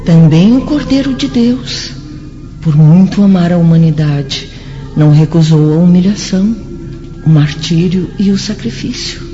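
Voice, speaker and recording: High, dark-toned devout spirit. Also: male voice, lively intonation, measured pace. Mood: reverent. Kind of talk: dramatic radio play acting. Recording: muffled old radio drama, faint music.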